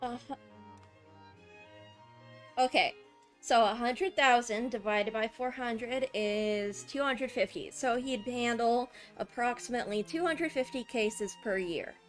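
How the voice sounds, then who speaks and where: A woman's voice: on the high side, slow pace. A woman game streamer giving casual, teasing reactions and wry asides during a playthrough. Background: game music.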